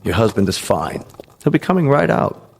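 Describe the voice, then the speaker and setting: An actor playing a composed, courteous clinic official, formal and businesslike, in a vintage drama. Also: male voice, mid-range voice, big pitch swings, moderate pace, gravelly, slight background noise. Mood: calm, formal. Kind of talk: vintage television drama acting. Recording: long reverberant hall, music behind.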